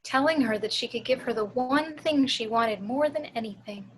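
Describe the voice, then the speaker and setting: A woman voicing a possessed, motherly woman with slow, deliberate, soothing menace in an amateur table read. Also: fairly high pitch, lightly acted, low-quality recording. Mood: ominous, soothing. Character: sinister motherly woman possessed by a vengeful ghost.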